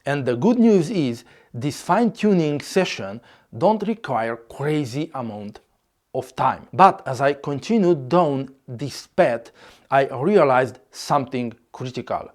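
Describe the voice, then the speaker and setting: Slow-speaking man with a Hungarian accent. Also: mid-range voice, animated. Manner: measured, slightly non-native phrasing. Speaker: YouTuber. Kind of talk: scripted vlog narration.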